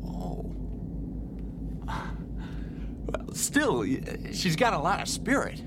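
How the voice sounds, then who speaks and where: Cocky, wisecracking space pilot rogue. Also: male voice, a little high, wildly swooping pitch, unhurried, background noise, full character voice. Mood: casual teasing. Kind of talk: radio drama acting.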